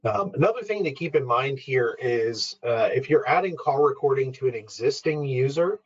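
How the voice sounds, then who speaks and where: A man presenting an informal, step-by-step software walkthrough in an explanatory, instructional way, as a webinar trainer. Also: medium pitch, animated, moderate pace, slightly rough, muffled recording. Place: online webinar screen-share.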